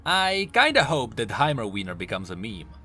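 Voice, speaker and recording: Very animated, bright-voiced man narrating. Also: mid-range voice, moderate pace. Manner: emphatic, swooping delivery. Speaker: host of a game lore video channel. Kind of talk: scripted commentary narration. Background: background music.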